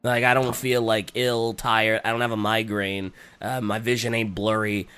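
A man co-hosting a podcast, talking casually with a raspy, congested voice while getting over a cold, hesitant and full of fillers. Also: lowish voice, level tone, moderate pace, a bit bright. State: recovering from a cold. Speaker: podcast co-host. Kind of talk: casual chat.

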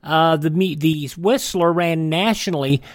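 A man's voice: on the high side, measured pace, no room sound, clean studio sound. An American man hosting a radio show, presenting and reading news items. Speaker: radio host.

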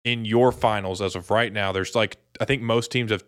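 A man's voice: on the low side, fairly even intonation, normal speed, no room sound, studio quality. A man co-hosting a sports podcast in casual, conversational chat.

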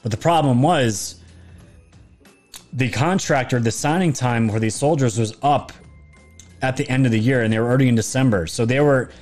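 A man's voice: mid-range voice, normal speed, long pauses, a little mellow, faint music behind. A man with a sore, fading voice talking through a casual livestream monologue as a political commentator, rambling and emphatic, often restarting his phrases. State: throat sore, losing his voice.

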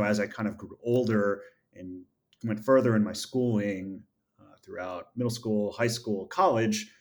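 A man from upstate New York, a podcast guest, answering in a measured, earnest way with frequent filler sounds. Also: fairly low pitch. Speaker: podcast guest, MBA graduate and founder. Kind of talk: reflective interview answers.

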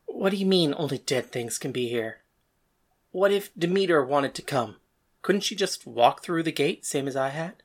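A woman's voice: deep voice, lively intonation, moderate pace. A woman playing a bitter, defiant god of death in a first-person audio drama. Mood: probing.

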